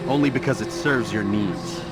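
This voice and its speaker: Man as a low-voiced, blunt assassin. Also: moderate pace, close to an everyday voice, rough recording. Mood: accusing, defiant. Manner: blunt, accusatory. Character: stern, defiant young male assassin. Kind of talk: video game voice acting. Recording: music loud behind.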